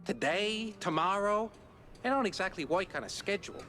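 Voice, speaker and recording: A man's voice: high voice, moderate pace, pushed and bright. A man voicing a fawning, shifty young rodent informant in a 1930s-style cartoon game, stammering and wheedling. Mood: eager, shifty. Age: young adult. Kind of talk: 1930s cartoon gangster-film acting. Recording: music behind the voice.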